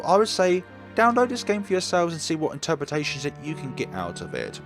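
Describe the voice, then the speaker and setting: A man hosting a let's play, chatting through a visual novel in an animated way. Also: mid-range voice.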